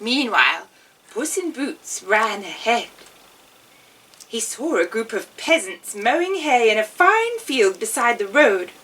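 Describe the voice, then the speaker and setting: A woman narrates a fairy tale in a theatrical storyteller's voice, highly animated and unhurried. Her tone is bright, and she sounds a little away from the microphone. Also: husky, recorded from a distance, low-quality recording. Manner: theatrical, measured. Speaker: storyteller playing an amusing witch.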